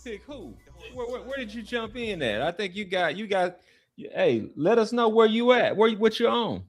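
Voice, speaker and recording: A man's voice: high voice, expressive, moderate pace, soft background music. A man co-hosting a podcast in a casual, conversational sports discussion. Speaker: podcast co-host.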